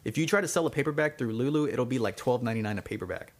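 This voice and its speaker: A man talking fast and excitedly as a guest author in a casual chat, laughing often. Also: mid-range voice.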